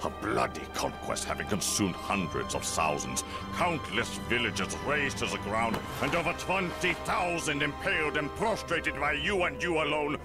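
A man's voice: on the high side, expressive, moderate pace, hardly any pauses, loud music behind the voice. An actor playing a grave, grandiose man pronouncing judgment in solemn, archaic oratory for an anime parody dub. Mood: solemn, condemning. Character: grave, grandiose male accuser condemning a vampire king.

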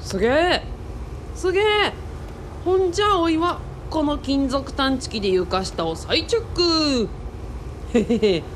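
A woman playing a boyish, excitable young man in a radio drama, speaking casually and exclaiming often. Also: high voice, measured pace, slight background noise. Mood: excited, playful. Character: boyish, excitable young male sidekick, Kaoru.

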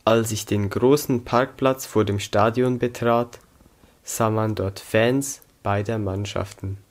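A sixteen-year-old young man from Switzerland, reading a scripted story aloud in a careful, measured manner. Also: fairly low pitch. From Zug, Switzerland. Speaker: student reading own story. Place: small indoor room against a plain wall.

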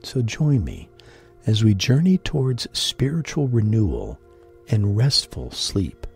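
A man guiding a sleep meditation in a gentle, deliberate, breathy voice with long pauses. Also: lowish voice, huge pitch swings. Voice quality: husky, breathy. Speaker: meditation guide and host. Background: music.

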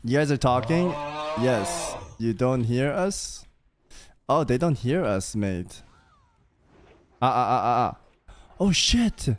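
A man's voice: mid-range voice, slow, long pauses. A young man streaming a game, chatting and teasing his group in casual voice chat, speaking close to a studio mic at home. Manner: playful, teasing, jumpy and animated. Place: indoor home streaming room. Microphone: studio mic on a boom arm, close.

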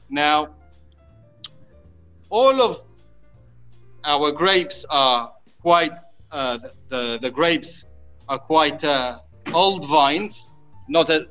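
A man in his thirties from Israel, a winemaker explaining his work informally, pausing and repeating words as he goes. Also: a little high, pushed and bright. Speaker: winemaker, guest. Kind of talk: informal virtual tour explanation. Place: underground stone wine cave. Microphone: phone or laptop at arm's length. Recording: dull lo-fi video-call audio, music behind.

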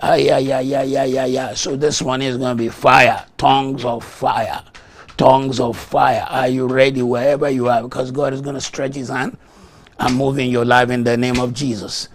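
Middle-aged man, Yoruba native, pastor, fervent sermon, rhythmic tongues, gravelly voice, husky tone, lapel mic, studio. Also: mid-range voice, little pitch movement. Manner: fervent, rhythmic bursts of rapid tongues between teaching. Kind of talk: televised morning devotional sermon with tongues. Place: TV studio set.

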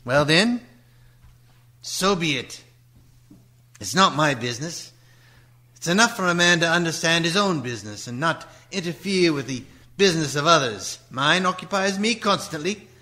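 A man's voice: fairly high pitch, expressive, normal speed, long pauses, pushed and bright. An actor playing a miserly, grumpy old businessman in a radio play, curt, sneering and scornful. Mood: sardonic, dismissive. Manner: curt, sneering, grumbling with hums and dry chuckles.

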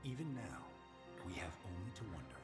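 A man's voice: sits low, big pitch swings, a little fast. A man playing a solemn, grandiose corporate guide in a scripted promotional narration for a video game. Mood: solemn, grand. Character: male corporate tour guide narrating a grand company myth. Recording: loud music under the voice.

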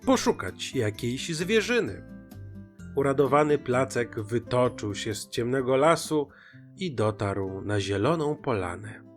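A man narrating a scripted children's fairy tale in an expressive, dramatic way. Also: mid-range voice, measured pace. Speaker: storyteller. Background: music.

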